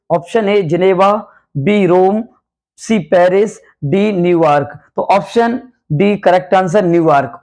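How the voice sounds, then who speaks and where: A man with a hoarse voice, a coaching teacher running a quick quiz-style revision lesson, calling his students "beta" and repeating each question and answer. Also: high-pitched, darkish tone. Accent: Hindi. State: hoarse from night classes.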